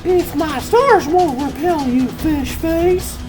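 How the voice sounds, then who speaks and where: A woman's voice: sits high, slow, over music, rough recording. A woman playing a spirited animal superheroine in a comic dub. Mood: taunting.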